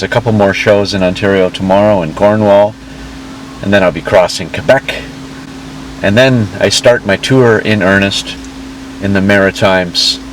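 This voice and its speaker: A man's voice: lowish voice, far from the mic, rough recording. A man, a touring musician and host, casually narrating his trip as he travels.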